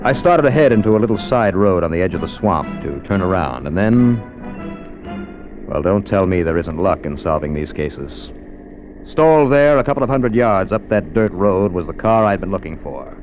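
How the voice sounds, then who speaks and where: A wry, dryly joking investigator, telling his case in 1950s radio style. The voice sits low, on a dull lo-fi recording with faint music behind. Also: big pitch swings, normal speed, darkish tone. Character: wry male insurance investigator, narrating. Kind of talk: 1950s radio drama narration.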